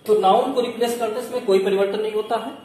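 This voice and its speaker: A man's voice: sits high, steady tone, fast talker, a little mellow. A man teaching a grammar lesson step by step, asking questions, answering them himself and repeating key points. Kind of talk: classroom lesson, explaining step by step. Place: echoey room. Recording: very echoey room, distant mic, faint noise.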